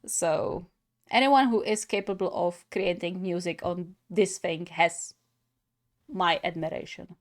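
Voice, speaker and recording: A woman's voice: on the low side, fairly even intonation, unhurried. A woman giving casual reaction commentary as host of a gaming video, thinking aloud hesitantly.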